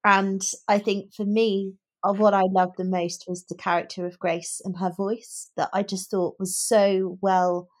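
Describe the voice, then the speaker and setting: A woman's voice: mid-range voice, darkish tone. A woman co-hosting a podcast in a casual book discussion, talking in an emphatic, opinionated and articulate way.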